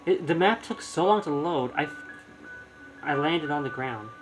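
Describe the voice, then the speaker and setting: A man giving casual, halting live commentary as he streams a video game, often repeating his words. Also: a little high, lively intonation, soft background music, low-quality recording.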